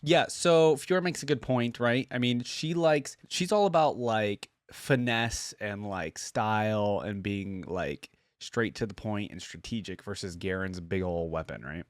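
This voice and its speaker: A man's voice: fairly low pitch, measured pace, hardly any pauses, no room sound, clean studio sound. A man hosting a reaction video, giving casual, unscripted commentary in a rambling, conversational way and often checking in with "right?".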